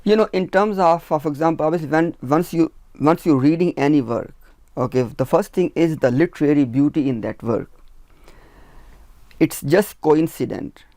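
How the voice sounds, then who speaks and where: A man with a somewhat high voice lays out his argument point by point in a panel discussion. He speaks firmly and with emphasis, and the sound is dry and close. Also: normal speed, dark tone, no room sound. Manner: methodical, counts points off one by one; emphatic, firm. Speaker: researcher of religious literature. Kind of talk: discussion panel, explaining at length.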